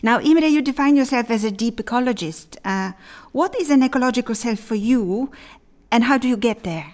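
A woman's voice: fairly high pitch, normal speed, darkish tone. A middle-aged woman hosting a podcast panel, speaking wryly and posing rhetorical questions. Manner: wry, poses rhetorical questions. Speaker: podcast host.

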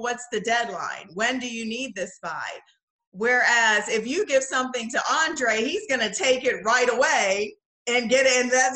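A woman's voice: fairly high pitch, sharp tone. A woman from the Bahamas telling personal stories conversationally as a podcast guest. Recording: roomy, echoing sound.

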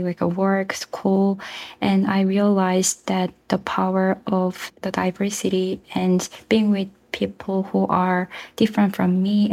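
Young korean woman w/ accent, non-native english, soft mellow tone w some fry, pretty even pitch, earnest interview answers. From South Korea. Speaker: interview guest, mental health nurse and researcher.

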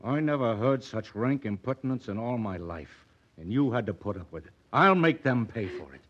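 An actor playing an earnest, proud, idealistic doctor in an impassioned, articulate old radio drama style. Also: male voice, medium pitch, animated, normal speed, fairly bright tone, natural voice. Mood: indignant. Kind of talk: 1940s radio acting. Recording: dull old radio recording.

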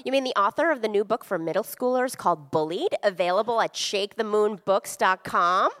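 A woman's voice: mid-range voice, a little fast, fairly bright tone. A woman co-hosting a quiz show, bantering and making mock-formal announcements in an echoey hall. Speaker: quiz show co-host and judge.

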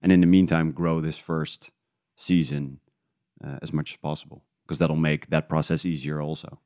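A man talking casually and reflectively as an interview guest, feeling his way through thoughts with halting restarts. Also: extremely low pitch, flat delivery, warm tone, no room sound, studio quality. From Netherlands. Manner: halting, thinking aloud in restarts. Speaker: interview guest, independent podcast creator.

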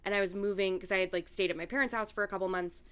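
A young woman with a raspy voice, co-hosting a casual chat podcast and telling a story, laughing as she talks. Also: steady tone, very fast. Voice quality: deep raspy voice from yelling. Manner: chatty, laughs while talking. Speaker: podcast co-host.

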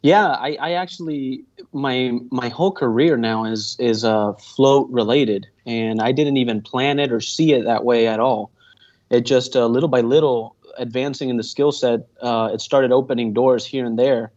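A man's voice: medium pitch, moderate pace, a little mellow. Young man, rambling earnestly. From Venezuela. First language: Spanish. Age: 20s. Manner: earnest, rambling, with many fillers and restarts. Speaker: guest pilot. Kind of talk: casual interview answers. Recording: dull muffled audio.

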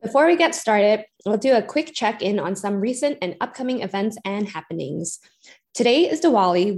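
Young woman, student podcast co-host, scripted announcements, mid pitch. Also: a little mellow. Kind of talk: scripted event announcements and interview questions.

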